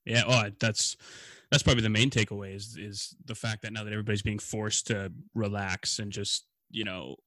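A man in his late twenties keeps a casual chat going easily. His voice is bright and forceful, pitched a little low. The sound is close and dry. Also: little pitch movement, a little fast, hardly any pauses, dry recording. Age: late 20s. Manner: easygoing, laughs often.

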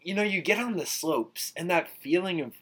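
A man's voice: high-pitched, animated, moderate pace, a bit bright, breathy edge. Laid-back teen boy hosting a podcast. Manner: laid-back, repeats and restarts words. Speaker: podcast host. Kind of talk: casual chat. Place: indoors, ski trip lodging.

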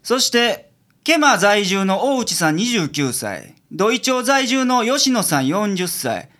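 Male private detective played by a woman: suave, mock-formal, Kansai, mid pitch with big swings, radio comedy. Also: big pitch swings, slow, a bit bright. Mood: businesslike. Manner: mock-formal with clients, blunt with colleague. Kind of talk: comedic radio drama acting.

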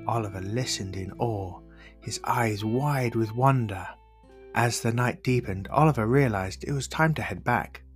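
A male storyteller reads a scripted bedtime tale for children at a middling pitch. Faint plucked guitar music plays behind his narration.

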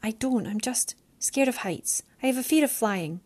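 A woman's voice: a little high, lively intonation, moderate pace, darkish tone, voice pushed up. A woman voicing a defiant, frightened young woman who speaks with rising heat.

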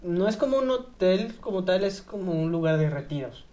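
A young woman with a Mexican accent talks on a podcast with a low voice. Her slang is crude and she jokes easily. She sounds loose and informal throughout. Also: animated, normal speed, mellow. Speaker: guest. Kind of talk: casual podcast chat.

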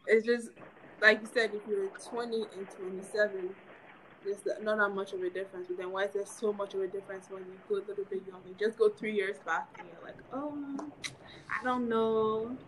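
Animated nineteen-year-old woman guest. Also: mid-range voice, brisk, slight background noise, poor audio. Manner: animated, acts out what others say. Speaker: podcast guest.

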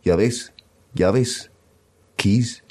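Deep, mellow male poetry reader. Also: drawn-out words, slightly rough. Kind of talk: literary narrative poem reading.

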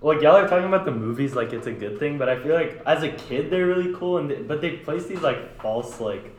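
A man's voice: on the high side, animated, a little fast. Informal young man, roomy sound. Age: young adult. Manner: informal, slangy. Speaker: podcast co-host. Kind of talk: casual debate among friends. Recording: roomy echo with faint noise.

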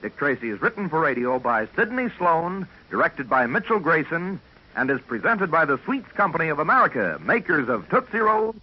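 A man's voice: on the high side, big pitch swings, moderate pace, husky. A man announcing dramatically and emphatically, in the style of a 1940s radio promo. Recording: muffled 1940s radio broadcast.

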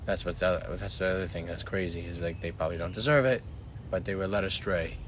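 Low male co-host, loose and profane, noisy recording. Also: steady tone, fast talker. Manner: rambling, profane, restarts often. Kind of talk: casual chat. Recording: background noise.

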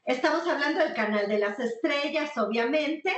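A woman hosting an interview, eager and quick with her questions. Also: sits high, lively intonation, reverberant. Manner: enthusiastic, asks questions. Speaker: interviewer host.

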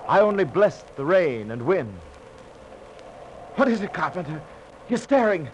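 A man's voice: high voice, very animated, measured pace. An actor playing a haunted, guilt-ridden sailor telling of his doomed voyage in fervent, theatrical 1940s radio drama style. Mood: haunted, awed. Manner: theatrical, fervent, anguished declamation. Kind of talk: 1940s radio drama acting, verse-like narration. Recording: noisy old 1940s radio recording.

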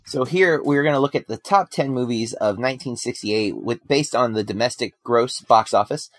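A man co-hosting a casual film podcast, relaxed and conversational, with frequent ums and you-knows. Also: mid-range voice, normal speed, soft background music. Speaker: podcast co-host.

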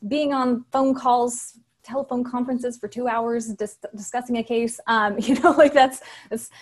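Casting note: soft-spoken woman in her thirties, slightly high voice, relaxed chatty guest full of fillers. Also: moderate pace. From Texas. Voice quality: higher voice. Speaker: business attorney guest. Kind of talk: casual interview talk.

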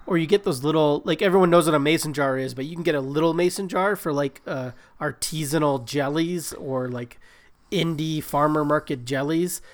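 A man's voice: fairly high pitch, moderate pace. A man with a stuffy nose from a cold, rambling and joking crudely as a podcast co-host. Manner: rambling, profane, self-mocking. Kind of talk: casual chat.

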